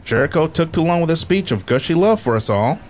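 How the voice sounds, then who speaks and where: An actor playing a nerdy, earnest inventor who explains technical things precisely in a comedy radio drama. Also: male voice, fairly high pitch, expressive, brisk, slight background noise, close to an everyday voice. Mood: matter-of-fact.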